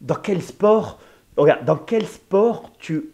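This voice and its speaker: A man from Spain co-hosting a podcast, talking in a casual, animated and opinionated way. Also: high-pitched, takes it slowly. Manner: animated, opinionated, mimics people in passing. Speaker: podcast co-host.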